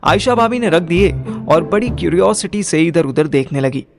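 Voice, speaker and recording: A man's voice: a little high, lively intonation, normal speed, music in the background. A young man narrating a first-person audio drama in colloquial Hindi mixed with English words. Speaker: narrator and lead character.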